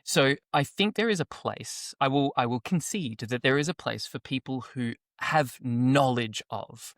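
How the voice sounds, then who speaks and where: A soft-spoken man talks on a podcast at a middle pitch. He speaks in a measured way and pauses to find the right word. The recording is dry and close. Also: no echo. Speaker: podcast co-host. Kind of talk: casual chat.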